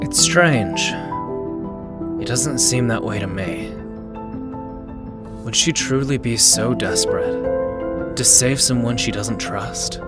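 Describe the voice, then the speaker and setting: Man acting a slow-talking, curious young man. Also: medium pitch, loud music behind the voice, natural voice. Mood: probing. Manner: musing aloud, probing. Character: curious, prying young man, casually musing. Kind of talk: anime-style manga dub acting.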